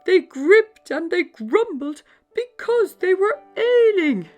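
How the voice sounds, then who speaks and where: A woman voicing an elderly Santa in a children's storybook, fretting and exclaiming theatrically with drawn-out words. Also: very high voice, big pitch swings, darkish tone, soft background music, voice pushed up, exaggerated voice. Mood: upset, complaining. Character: upset, worried old Santa, elderly man fretting over reindeer.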